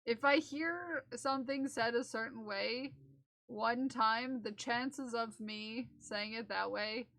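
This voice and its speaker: A woman's voice: a little high, slow pace, a bit bright, no room sound, poor audio. A young woman casually chatting on a live stream while she knits for charity. She rambles, giggles often and slips into playful bits of song. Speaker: livestreamer knitting for charity.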